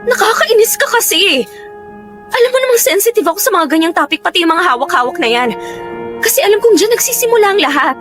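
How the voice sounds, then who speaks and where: An actress playing a guarded, sensitive young Filipino woman in a radio drama, emphatic and quick to scold. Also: very high-pitched, lively intonation, fairly bright tone. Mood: upset, accusing. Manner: emphatic, nagging. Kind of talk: Tagalog radio drama acting. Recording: music bed under dialogue.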